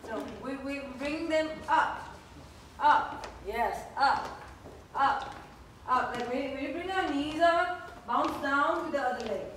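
A woman's voice: high voice, takes it slowly, long pauses, a bit bright, slight background noise. A woman dance instructor calling out steps and counts energetically in short instructions. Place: large indoor dance studio. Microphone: distant phone camera. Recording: echoey studio, far from phone, music.

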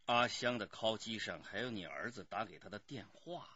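A man's voice: fairly low pitch, expressive, normal speed, pushed and bright, breathy edge, no room sound, exaggerated voice. A man playing a chief police detective in a radio drama, knowing and pressing with pointed questions. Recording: slightly dull studio radio drama sound.

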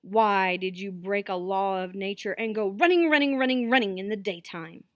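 Commanding lion king, played by a woman: loud, slow, solemn declamation to a storybook animal council. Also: no room sound, studio quality, natural voice. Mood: stern questioning. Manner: booming, declaiming, judicial.

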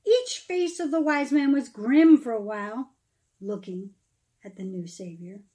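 A middle-aged woman reads a rhyming children's story aloud in an expressive, dramatic manner, pausing for emphasis. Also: fairly high pitch. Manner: dramatic, emphatic phrasing with pauses, explaining asides to children. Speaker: pastor reading a children's story.

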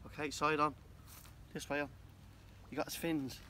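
An older man chatting casually while fishing by a lake outdoors, exclaiming excitedly, recorded on a phone camera. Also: on the high side, normal speed. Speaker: grandfather fishing. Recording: loud outdoor noise.

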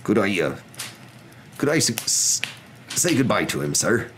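Huge shaggy soft-hearted storybook man, acted by a male reader, halting rustic delivery, faint noise. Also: fairly low pitch, big pitch swings, pitched above the performer's own voice. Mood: hesitant, sad. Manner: halting, stammering, rustic grammar. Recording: noticeable background noise.

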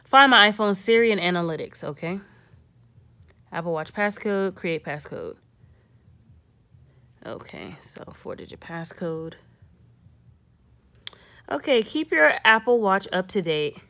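A woman's voice: medium pitch, big pitch swings, measured pace, long pauses, pushed and bright. A young woman hosting a casual step-by-step tech tutorial, thinking aloud and reading prompts in an informal way.

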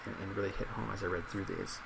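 A man in his twenties in a soft-spoken ASMR role play as a psychologist, measured and reflective. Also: low voice, gravelly. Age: 20s. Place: small indoor office at a desk. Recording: steady loud laptop fan hiss.

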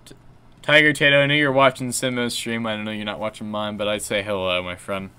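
A young man with a deep voice chatting on a gaming stream, low-key and rambling with fillers and restarts. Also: little pitch movement, a little fast, bright, forceful tone, clean tone. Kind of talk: casual gaming stream chat.

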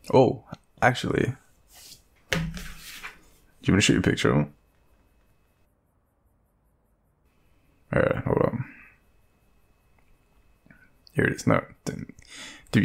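An extremely low, crackling male voice with an Australian accent, a man acting out a shy young guy smitten with his friend. He murmurs slowly and haltingly, with lots of breath, in an intimate romantic roleplay. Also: heavily acted. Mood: bashful, teasing. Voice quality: gravelly, breathy, very deep. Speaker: audio roleplay performer.

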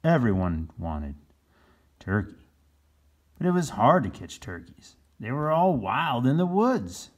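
Male storybook reader, husky, highly animated pitch, unhurried pace, children's read-aloud. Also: lo-fi sound.

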